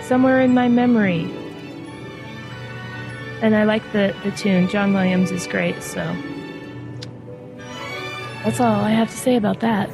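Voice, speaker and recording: A woman in casual conversation, talking thoughtfully with many pauses and fillers. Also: medium pitch, fairly even intonation, music in the background. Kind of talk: casual chat.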